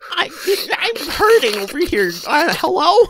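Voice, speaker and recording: A man's voice: extremely high pitch, big pitch swings, measured pace, pushed and bright, a little hiss or hum, voice pushed up, heavily acted. A man voicing a wounded, frightened young woman, hesitant and plaintive, in an improvised comedy. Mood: hurt, pleading.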